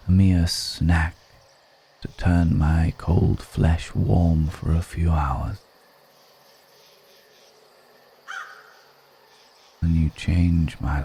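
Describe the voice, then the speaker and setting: Man as a very deep, slow-speaking ancient vampire. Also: gravelly, dark tone, breathy edge, exaggerated voice. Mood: seductive, intrigued. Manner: slow, deliberate, brooding, with long pauses. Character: ancient male vampire, world-weary, seductive, musing on mortality. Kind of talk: intimate ASMR roleplay monologue.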